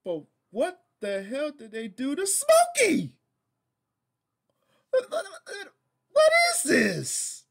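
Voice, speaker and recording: Casting: American man, middle-aged reaction host, halting and exasperated, very animated pitch swings. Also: gravelly. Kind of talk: casual reaction commentary.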